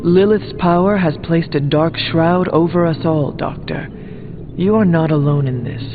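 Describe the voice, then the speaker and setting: An actor playing a solemn, stoic demon-born warrior hero in a video game, with formal, old-fashioned phrasing. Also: male voice, a little high, measured pace, phone-quality sound, noisy background. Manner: formal, archaic phrasing without contractions. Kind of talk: video game dialogue acting. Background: background music.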